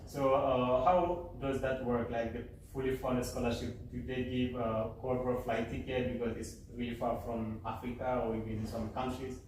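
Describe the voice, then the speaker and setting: A young man from Guinea hosts a relaxed chat with fellow students, friendly and full of fillers and restarts. He sits well back from the mic in a very echoey room, with faint background noise. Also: mid-range voice, normal speed, few pauses, recorded from a distance. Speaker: host. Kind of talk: casual interview chat.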